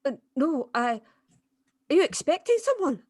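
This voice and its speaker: A woman's voice: high-pitched, big pitch swings, normal speed, darkish tone, no echo, clean studio sound. An actress playing a tough, pragmatic, wry woman accomplice, plain-spoken and firm, in a dramatic script read-through. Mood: alarmed.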